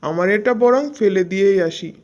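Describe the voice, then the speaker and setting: A woman's voice: mid-range voice, fairly quick, darkish tone, close to an everyday voice. A woman voicing a scornful, snobbish animal neighbour in a cartoon fairy tale, dismissive and sneering.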